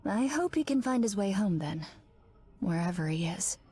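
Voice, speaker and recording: A woman voicing a pensive, gentle superhero in a video game, with a soft, husky, mellow voice. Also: medium pitch, lively intonation, normal speed. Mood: wistful. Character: pensive, gentle female superhero missing her mentor. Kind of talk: video game character voice acting.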